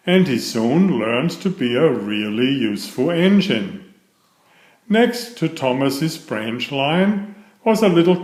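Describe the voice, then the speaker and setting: A man's voice: medium pitch, roomy sound. A man narrating a children's story, reading aloud from a script.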